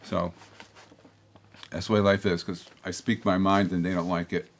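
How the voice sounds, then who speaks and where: An older man hosting a local public-access opinion show, talking bluntly in a meandering way with many restarts and asides. His voice is slightly hoarse from a throat tickle. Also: sits low, level tone, moderate pace. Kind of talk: rambling opinion monologue to camera.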